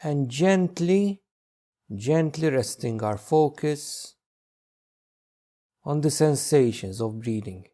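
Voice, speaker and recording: A man leading a guided meditation as a teacher, giving gentle, unhurried instructions with long pauses. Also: a little high, expressive.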